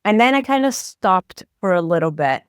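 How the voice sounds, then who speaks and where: Brazilian woman therapist talking thru ideas, smooth voice, dry close sound. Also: medium pitch, expressive, moderate pace, no room sound. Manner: thoughtful, explanatory, many fillers. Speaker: psychotherapist guest. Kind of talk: interview conversation.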